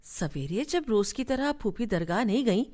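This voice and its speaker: An actress playing a woman who recounts her family's past in a radio drama, in measured storytelling style. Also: fairly high pitch, lively intonation, darkish tone, lightly acted. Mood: reminiscing.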